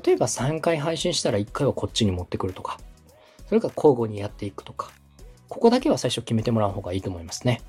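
A man's voice: mid-range voice, big pitch swings, quick pace, a little mellow, breathy edge, faint music behind. A man from Osaka hosting a podcast and coaching with polite, encouraging, explanatory advice. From Osaka, Japan. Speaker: podcast host, coach, radio director. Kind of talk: instructional advice in a podcast dialogue.

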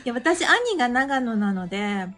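A woman's voice: a little high, sing-song, measured pace, over loud music. A woman chatting casually as a guest on an audio stream. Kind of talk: casual chat.

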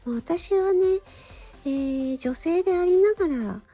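A woman hosting a solo radio-style talk, speaking politely and gently in short, halting phrases. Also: extremely high pitch, animated, soft background music. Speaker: radio show host and amateur voice actor.

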